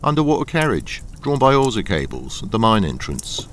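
An actor playing a suave smuggling boss in a radio crime drama, smooth, patronizing and politely threatening. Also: male voice, on the low side, big pitch swings, a little fast, fairly bright tone, slight background noise. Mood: confident, persuasive. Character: suave menacing smuggling boss, coolly blackmailing a deserter.

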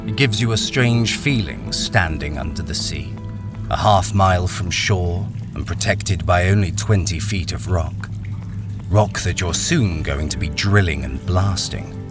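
Deep-voiced, guarded miner played by a man. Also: unhurried, loud music behind the voice. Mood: brooding. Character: wary, experienced male miner, blackmailed, narrating his ordeal. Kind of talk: radio crime thriller acting and first-person narration.

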